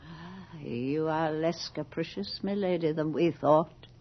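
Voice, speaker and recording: An actress playing an aged, world-weary aristocratic widow in a radio horror drama, speaking with measured dignity. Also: female voice, low-pitched. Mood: weary, wry. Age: older. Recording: dull old radio drama with music.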